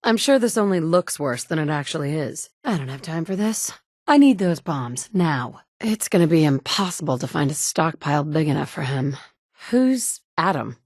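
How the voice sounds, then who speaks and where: A woman's voice: deep voice, very animated, normal speed, darkish tone, dry recording, exaggerated voice. A woman playing a determined mother turned hardened wasteland survivor, speaking firm, direct lines of game dialogue. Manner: firm, direct, dry and commanding. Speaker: player character voice. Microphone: studio mic, close.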